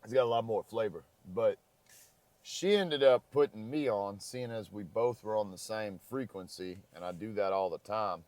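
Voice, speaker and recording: A man's voice: fairly low pitch, normal speed, darkish tone, dry recording. A man who is losing his voice, chatting casually and rambling in a parked car. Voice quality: crackly voice. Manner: rambling, easygoing. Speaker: travel vlogger. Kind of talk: casual chat.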